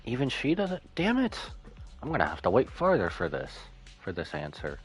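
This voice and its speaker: A man's voice: medium pitch, huge pitch swings, moderate pace, husky, soft background music. A man streaming a game with casual live reaction commentary, slangy, joking and incredulous in manner.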